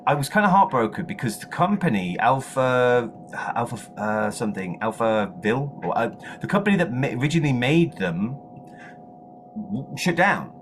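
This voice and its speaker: A man chatting enthusiastically about video game news as a co-host, excitable and repeating words for emphasis. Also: medium pitch, moderate pace, a little hiss or hum. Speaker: gaming show co-host.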